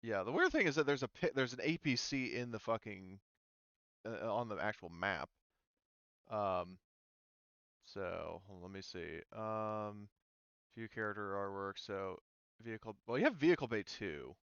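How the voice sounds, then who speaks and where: A man's voice: on the low side, animated, normal speed, fairly bright tone, clear, resonant tone, dry recording. A man running a tabletop game as game master, talking casually and hesitantly as he thinks aloud, on a muffled voice-chat line.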